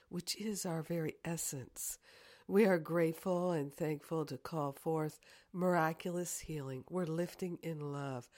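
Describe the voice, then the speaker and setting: A woman speaks very slowly in a fairly low voice, with a hoarse, congested croak. She sounds like a prayer leader, deliberate in her phrasing and leaving space between her words. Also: a little mellow. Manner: deliberate, repetitive affirming phrases with long pauses. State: hoarse from sinus trouble. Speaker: spiritual teacher leading a prayer. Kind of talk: devotional guided prayer, then casual announcements.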